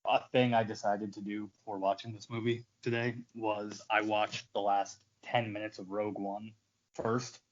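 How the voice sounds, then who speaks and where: A man's voice: fairly low pitch, flat delivery, moderate pace, lo-fi sound. A man co-hosting a podcast in casual banter, with a wry, joking way of talking. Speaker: podcast co-host.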